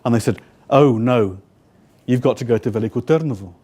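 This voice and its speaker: A man's voice: medium pitch, moderate pace, warm tone, breathy edge. Wry British man telling a story. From United Kingdom. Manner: wry, dry humor in anecdotes; conversational, acts out quoted exchanges. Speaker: guest speaker, interpreter. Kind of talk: storytelling talk to a live audience.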